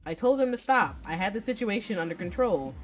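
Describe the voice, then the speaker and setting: A blunt, defensive ex-boyfriend in a romance game, read out by a woman. The delivery is scolding and exasperated, at a middling pitch, over background music. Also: lively intonation, a little fast, natural voice. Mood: sarcastic, defensive. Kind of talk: visual novel read aloud.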